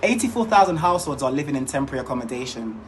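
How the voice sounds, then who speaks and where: A man's voice: medium pitch, very animated, racing, a little hiss or hum. A man giving a scripted community update as a reporter, upbeat and informative.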